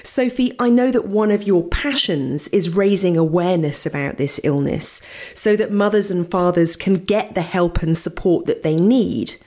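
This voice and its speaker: A woman who is a doctor, hosting a health show and introducing her guest with clear, scripted delivery. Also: lowish voice, normal speed, darkish tone. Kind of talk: radio health interview hosting.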